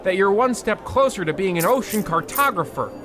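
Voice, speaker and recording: A man's voice: high voice, animated, a little fast, music in the background, a crowd in the background. A man playing a fussy, pompous, scolding husband who blusters through an old-time comedy radio play. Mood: indignant. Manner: pompous, blustering, stammering indignation.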